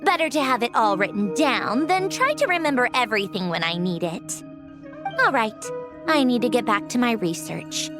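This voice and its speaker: Actress as a lively, high-voiced young female researcher. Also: moderate pace, over music, close to an everyday voice. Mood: brisk, matter-of-fact. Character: brisk, sharp-minded young female researcher. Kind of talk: video game voice acting.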